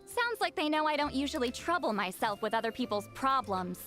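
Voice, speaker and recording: High, haughty shaman woman. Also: very animated, brisk, a bit bright, soft background music. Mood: huffy. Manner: haughty, hesitant when flustered. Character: proud, easily flustered great shaman woman, aloof granny. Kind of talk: anime game voice acting.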